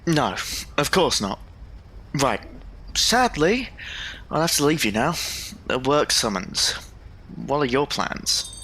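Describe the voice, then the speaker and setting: A man playing an earnest, headstrong young gentleman in a period audio drama, formal and protective in manner. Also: medium pitch, sing-song, slow, a little hiss or hum, full character voice. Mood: earnest, concerned.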